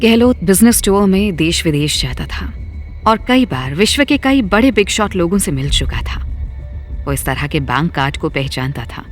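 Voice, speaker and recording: A narrator reading a scripted dramatic story. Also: mid-range voice.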